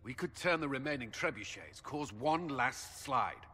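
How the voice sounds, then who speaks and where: Grim commander in echoing hall. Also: male voice, mid-range voice, animated, a little slow, sharp tone. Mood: grim urgency. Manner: firm, clipped, grave. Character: grim, resolute male military commander. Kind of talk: dramatic video game acting. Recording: long hall reverb, background ambience noise.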